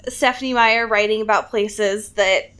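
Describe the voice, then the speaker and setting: A woman co-hosting a podcast, chatting casually and comedically about books in an animated, sarcastic way. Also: medium pitch, unhurried, clean tone. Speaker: podcast co-host. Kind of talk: casual comedic book discussion chat.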